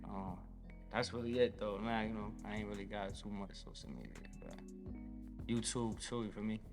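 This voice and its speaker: A man's voice: on the low side, sing-song, normal speed, vocal fry, big-room sound, over music. A man guesting on a radio show, talking casually in laid-back slang. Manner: laid-back slang, says "you feel me" a lot. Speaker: guest artist. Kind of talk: casual interview chat.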